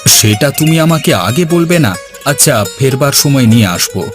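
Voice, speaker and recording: A man's voice: mid-range voice, animated, moderate pace, over music, lightly acted. A man voicing an earnest, dutiful village watermelon farmer in a cartoon, plain-spoken in manner. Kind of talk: cartoon dialogue.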